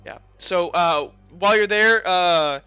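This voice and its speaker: High, bright-voiced man running a game. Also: smooth, dry recording, lo-fi sound, faint music behind. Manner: halting, conversational. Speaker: game master. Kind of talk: casual improvised tabletop game narration.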